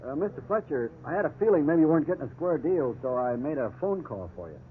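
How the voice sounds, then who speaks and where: A man's voice: on the high side, big pitch swings, fast. An actor playing a young, fair-minded junior policeman with a hesitant, mild manner in a 1950s radio drama. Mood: apologetic. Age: young adult. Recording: tinny, noisy old radio recording.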